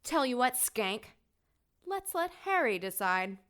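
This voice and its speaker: Bright, high-voiced jealous vixen. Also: female voice, very animated, a little slow. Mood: haughty, taunting. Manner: haughty, cutting, theatrical. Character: jealous, vain, possessive woman, self-styled goddess. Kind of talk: audio drama acting.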